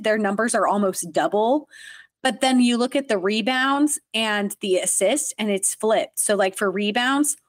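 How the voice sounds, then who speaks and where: A woman co-hosting a casual sports podcast, chatty and quick to laugh, saying "like" often. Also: fairly high pitch, no echo. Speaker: podcast co-host.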